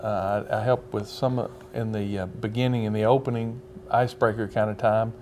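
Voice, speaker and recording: A male pastor speaking as a guest on a TV interview, measured and hesitant with many pauses. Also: fairly low pitch, flat delivery, a little mellow, slight background noise.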